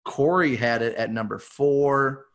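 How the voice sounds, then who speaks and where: A man's voice: medium pitch, measured pace, fairly bright tone. Chatty michigan guy hosting a podcast, upbeat, rambles with lots of ums. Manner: upbeat, rambling, many fillers. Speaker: podcast host. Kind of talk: casual group podcast chat.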